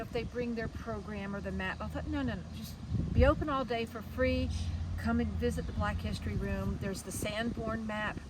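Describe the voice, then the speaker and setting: A woman with a mid-pitched voice explains things casually as an interview guest. Loud traffic noise surrounds her outdoor recording. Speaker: museum director, interview guest.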